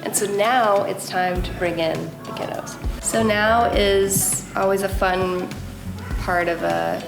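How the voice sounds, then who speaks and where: A woman's voice: medium pitch, fairly even intonation, slow pace, creaky. A young woman giving a casual, chatty craft tutorial, instructive with playful asides. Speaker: host, mother of a toddler. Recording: roomy echo, loud music behind. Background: music.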